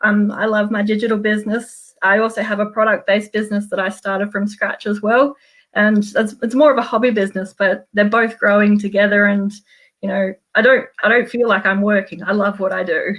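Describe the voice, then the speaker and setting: A woman's voice: mid-range voice, steady tone, brisk, fairly bright tone. An Australian-accented woman speaking in an earnest, chatty way as she casually shares a book with a group. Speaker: meetup guest speaker, small business owner. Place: home office, on a video call.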